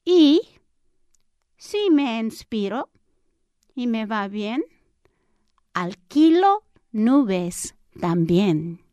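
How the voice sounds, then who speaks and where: Slow-reading woman poet in her sixties. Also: on the high side, big pitch swings, dark tone, dry recording, studio quality. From Chicago, USA. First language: English. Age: 60s. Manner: slow, deliberate poetry reading. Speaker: poet guest. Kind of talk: reading her poems aloud.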